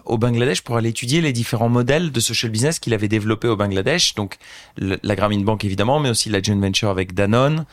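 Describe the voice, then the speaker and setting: French man telling a story, low voice. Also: fast talker. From France. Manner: animated anecdotal storyteller, addresses host directly. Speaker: guest, social entrepreneur. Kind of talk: casual storytelling interview.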